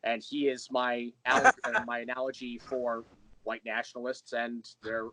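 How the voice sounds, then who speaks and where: A man talks as a guest creator in a relaxed interview. His pitch sits in the middle of the male range and the sound is very dry. He explains things thoughtfully, wandering like a storyteller. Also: normal speed, dry recording, rough recording. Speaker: interview guest, audio drama creator and writer. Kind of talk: casual interview conversation.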